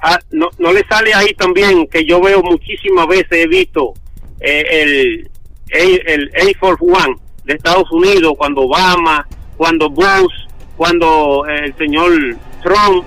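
A man, talking casually on the phone in a halting, repetitive, defensive way. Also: a little high, expressive, fairly bright tone, no room sound, rough recording. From Dominican Republic. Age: 50s. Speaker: prank call victim.

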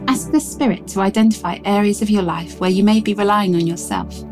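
A woman narrates a scripted devotional with music underneath. Her delivery is measured and reverent, with a reflective feel throughout. Her voice sits at an ordinary middle pitch for a woman. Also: steady tone, a little mellow. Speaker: devotional reader. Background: music behind the voice.

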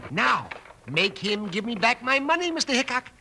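An actor playing a flustered, cheated little prospector in a 1950s western radio drama, stammering and agitated. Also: male voice, very high voice, sing-song, moderate pace, gravelly, full character voice. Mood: indignant. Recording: very noisy old radio recording.

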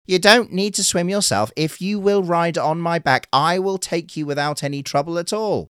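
A man voicing a kindly, calm little male sea turtle in a storybook tale, speaking slowly and courteously. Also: a little high, clear, resonant tone, no echo, studio quality, pitched above the performer's own voice, lightly acted. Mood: gentle, earnest. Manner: slow, gentle and courteous. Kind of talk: storybook character voice.

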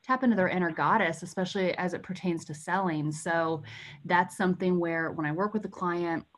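A woman in her thirties with an American accent, speaking in an animated, informal way as a guest in a casual interview. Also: lowish voice, fairly quick. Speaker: podcast guest, sales coach.

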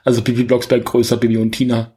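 A man's voice: medium pitch, completely flat delivery, fast, mellow. A man hosting a podcast, chatting casually about films in a rambling, jokey, self-correcting way. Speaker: podcast host. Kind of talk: casual film review chat.

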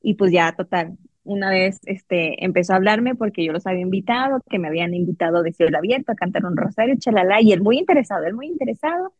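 A woman's voice: medium pitch, lively intonation, fast talker, darkish tone, slightly rough, no room sound, highs cut off. A woman telling a personal story in a casual, chatty way, often quoting herself. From Mexico. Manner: chatty, laughing, quotes herself and others. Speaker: guest telling her love story.

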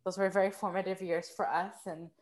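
A woman chatting as a podcast guest, telling stories in an animated, self-mocking way. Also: mid-range voice, brisk. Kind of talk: casual conversational storytelling.

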